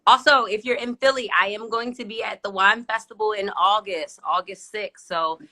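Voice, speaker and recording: A woman's voice: mid-range voice, steady tone, normal speed, pushed and bright. A woman guest, a wine brand founder, chatting casually in a laid-back, playful way. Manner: laid-back, playful, says "listen" a lot. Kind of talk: casual chat.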